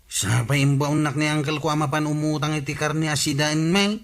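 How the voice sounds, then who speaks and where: A man's voice: on the high side, fairly even intonation, a little fast, natural voice. A man with an Ilocano accent playing an idle, complaining nephew in a radio comedy drama. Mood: curious.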